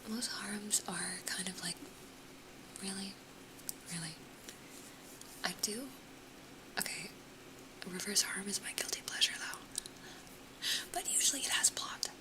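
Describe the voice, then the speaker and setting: A young American woman whispers softly and steadily into a close mic. She sounds a little sniffly. She chats casually and laughs easily, at an ordinary middle pitch. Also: unhurried, pauses often, rough recording. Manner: soft whisper throughout, chatty, laughs often. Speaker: ASMR streamer chatting with viewers.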